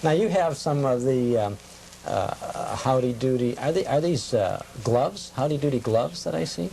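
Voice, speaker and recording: A middle-aged American man hosts a conversational interview, asking inquisitive questions and checking details. His voice is soft-edged and mellow with a gravelly creak. The recording is an old television tape with some noise. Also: mid-range voice, animated, normal speed. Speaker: host. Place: television studio. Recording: noisy, deteriorated old cable TV tape.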